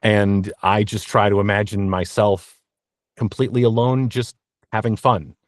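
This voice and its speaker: A man speaking as an interview guest about his work as a voice actor, in a reflective, halting, self-deprecating way from a small booth. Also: fairly low pitch, steady tone, dry recording, studio quality. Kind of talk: candid interview talk. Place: small blanket-fort recording booth.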